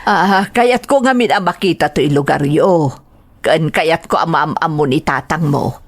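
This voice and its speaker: Low, slow, courteous mother, acted by a woman. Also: dry recording. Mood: polite, reserved. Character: courteous, reserved mother visiting her son's girlfriend. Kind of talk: radio drama acting in Ilocano.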